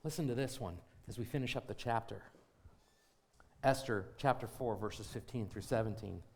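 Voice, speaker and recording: A man preaching a sermon as pastor of a church, moving from quiet reflection to rising, emphatic exhortation with repeated phrases and rhetorical questions to the congregation. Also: lowish voice, normal speed, gravelly. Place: church sanctuary.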